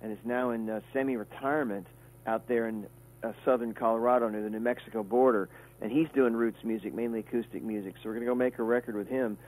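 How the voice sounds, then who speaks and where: A man's voice: mid-range voice, steady tone, quick pace, darkish tone, low-quality recording. A man, a guest musician talking casually in a radio interview, rambling with fillers and restarts. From Kentucky, USA.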